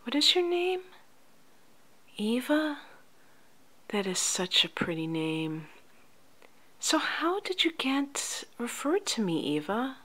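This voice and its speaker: Slow, soft-spoken ASMR woman. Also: a little high, sing-song. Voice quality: soft, often near-whispered. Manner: gentle, unhurried, soothing, addressing the listener directly. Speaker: ASMR host playing a life coach.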